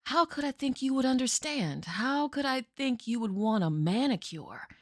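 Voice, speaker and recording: A woman acting a despairing adult daughter who talks quietly to her mother, pleading and sometimes in a whisper. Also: fairly high pitch, expressive, normal speed, no room sound, clean studio sound, voice pushed up. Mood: pleading, resigned.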